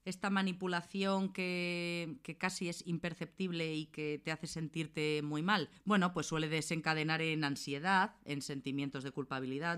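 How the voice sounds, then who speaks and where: A woman's voice: low voice, fairly bright tone, smooth. Colloquial woman podcaster from Spain. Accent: Spanish, from Spain. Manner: colloquial, emphatic, advice-giving. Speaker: podcast host. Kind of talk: casual conversational podcast talk.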